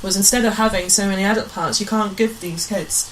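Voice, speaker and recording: A woman's voice: mid-range voice, steady tone, brisk, a little hiss or hum, rough recording. A woman chatting as a guest in a radio interview, often chiming in. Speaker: guest, amateur pantomime writer and director.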